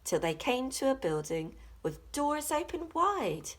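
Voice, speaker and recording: Lady teacher reading a rhyming kids story, super animated, slow and deliberate, bit husky. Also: high-pitched. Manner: dramatic, deliberate, rhythmic rhyme reading. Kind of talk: expressive children's picture book narration.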